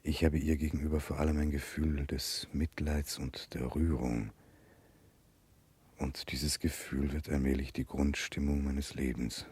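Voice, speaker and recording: A grave Russian gentleman in a 1960s radio play. His voice is extremely deep and strongly husky. He speaks with measured, earnest restraint. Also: level tone, exaggerated voice. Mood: calm, reflective. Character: grave, remorseful Russian gentleman following a convict woman. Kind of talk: 1960s German radio drama acting.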